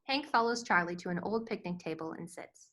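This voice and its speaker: A woman's voice: fairly low pitch, lively intonation, fairly quick, fairly bright tone. A woman reading stage directions as scripted narration for a screenplay table read.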